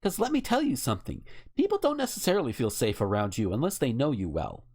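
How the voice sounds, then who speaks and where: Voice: medium pitch, wildly swooping pitch, fast talker, a little mellow, husky. An American podcast host talking casually and bluntly, with emphatic opinions and swearing. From United States.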